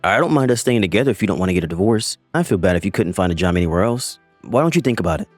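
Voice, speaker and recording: Arrogant husband in his thirties, low and quick, dismissive, voiced by a man; dry close recording. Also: lively intonation, no echo, soft background music. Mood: cold. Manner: dismissive, condescending.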